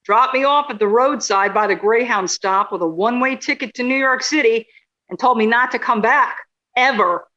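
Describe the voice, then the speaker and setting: A woman's voice: a little high, moderate pace, a bit bright, highs cut off, natural voice. A woman playing a sarcastic, indignant journalist in a radio play, with a wry way of talking.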